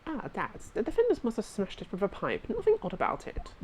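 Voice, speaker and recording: A woman's voice: mid-range voice, huge pitch swings, fairly quick, a little mellow, slight background noise, pitched above the performer's own voice. A woman voicing a smug, dismissive male prosecutor in a fan-dubbed game.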